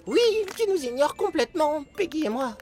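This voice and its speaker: Woman voicing an earnest, high-voiced cartoon boy creature. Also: expressive, normal speed, a little mellow, soft background music. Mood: concerned. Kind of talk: French cartoon dub acting.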